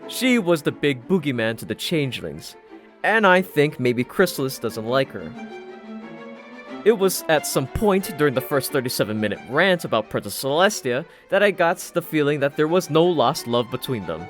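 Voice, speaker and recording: A man's voice: on the high side, sing-song, moderate pace, fairly bright tone, soft background music. A man narrating a first-person story from a script in a dry, sardonic manner. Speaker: audiobook narrator.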